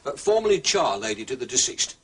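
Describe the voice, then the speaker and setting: An actor playing a discreet, deferential English manservant who speaks formally and respectfully, with polite hesitations and a quiet shrewdness. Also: male voice, medium pitch, sing-song, a little fast, background noise, lightly acted. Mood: dutiful reporting. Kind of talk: period radio drama acting.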